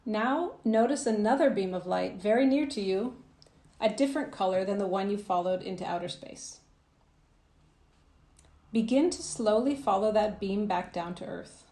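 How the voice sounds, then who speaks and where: A woman's voice: mid-range voice, lively intonation. Woman guiding a meditation in a roomy echo. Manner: soothing, gentle, measured with trailing phrase endings. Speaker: coach guiding a visualisation. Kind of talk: guided meditation, scripted narration.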